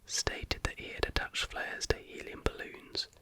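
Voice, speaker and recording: An ASMR host reading factual material aloud in a breathy, unvoiced whisper with a measured, hushed delivery.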